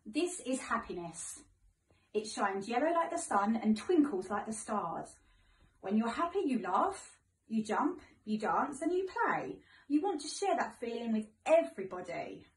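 A woman's voice: fairly high pitch, a little slow. British woman storytelling, echoey room. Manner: expressive, gentle, simple phrasing for children. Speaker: primary school teacher. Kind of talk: storybook read-aloud for young children. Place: living room, indoors. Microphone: phone camera a few metres away. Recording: echoey room, far from mic.

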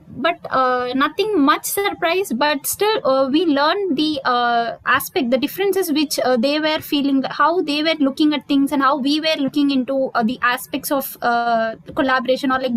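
High-voiced woman, halting and formal. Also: a bit bright, low-quality recording. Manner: hesitant, many "uh" fillers, formal phrasing. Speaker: student panelist. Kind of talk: video call panel answer. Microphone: video call.

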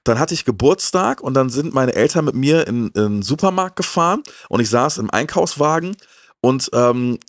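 A man in his forties hosts a retro talk show in a casual, emphatic way. His slangy storytelling sits at a medium pitch. He speaks into a handheld mic with a dry, close sound. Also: lively intonation, normal speed, dry recording. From Germany. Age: early 40s. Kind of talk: casual chat, personal anecdotes. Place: indoor room with shelves of films. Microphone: handheld microphone.

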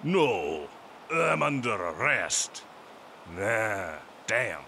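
A man's voice: fairly low pitch, big pitch swings, very slow, vocal fry, sharp tone, a little hiss or hum. A man playing a cocky, flirtatious pirate captain with a swaggering, laughing manner. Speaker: audio drama voice actor. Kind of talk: audio roleplay performance.

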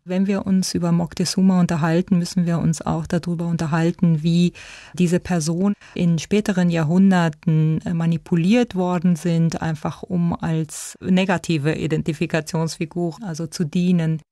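A woman's voice: on the low side, level tone, moderate pace, dark tone, no room sound, studio quality. A woman speaking as an expert in a spontaneous, explanatory interview. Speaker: expert interviewee, ethnologist and museum director.

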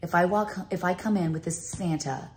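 A woman in her fifties talks in a slightly low voice, chatty and gushing, straight into a phone held at arm's length. Faint background noise sits under her voice, recorded at home in a kitchen. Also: moderate pace. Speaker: vlogger. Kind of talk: casual chatty vlog.

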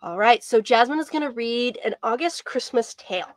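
A woman's voice: a little high, lively intonation, normal speed, no room sound. A young woman hosting a video stream and reading stories aloud in a storybook style. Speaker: host and writing instructor. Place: indoors by bookshelves, on a video stream.

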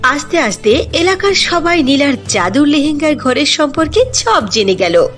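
A woman's voice: high-pitched, sing-song, normal speed, fairly bright tone. A woman narrating a scripted fairy-tale cartoon as its storyteller. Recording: music behind the voice.

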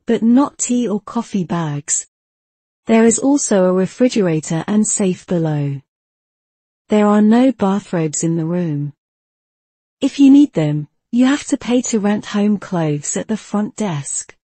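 A woman narrates a travel video in a steady, informative way. Her voice is mellow and slightly husky. The recording is close and dry. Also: mid-range voice, dry recording. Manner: even, informative reading tone. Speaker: travel vlogger.

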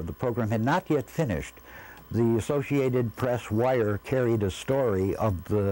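An older man, a director, giving careful, measured and reflective answers in an interview. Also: medium pitch, a little mellow, low-quality recording. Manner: measured, careful, qualifying his statements. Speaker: radio drama director being interviewed.

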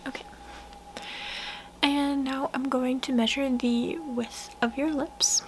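A young woman speaking softly, often in a whisper, in a gentle, unhurried ASMR personal-attention roleplay, murmuring close to the listener. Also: high-pitched, steady tone, slight background noise, poor audio. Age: young adult. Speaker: ASMR artist roleplaying a portrait painter.

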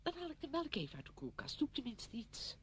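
An actress playing a chatty, well-meaning visitor in a radio drama, with a coaxing, fussing manner. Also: female voice, wildly swooping pitch, fast. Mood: brisk, helpful. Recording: noisy old radio play recording, low rumble.

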